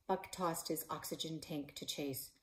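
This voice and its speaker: A woman in her forties, a librarian and author, reading her children's novel aloud in a living room, voicing the characters with shouts and whispers. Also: sits low, steady tone, unhurried. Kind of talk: children's book read-aloud. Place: living room in front of a stone fireplace.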